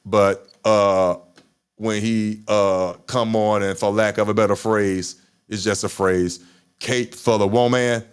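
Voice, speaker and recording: A man's voice: lowish voice, steady tone, slow, clean tone. A man hosting a casual live podcast chat, talking with a stutter and repeating his words. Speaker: podcast host.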